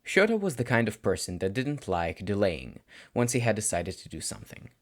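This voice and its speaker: A man reading fan fiction aloud as an amateur audiobook narrator, in an expressive scripted style. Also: lowish voice, brisk.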